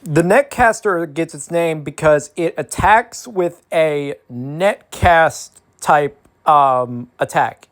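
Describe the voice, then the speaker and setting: Higher-voiced man explaining in ASMR style. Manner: detailed, explanatory, repeats points for emphasis. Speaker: educational content creator.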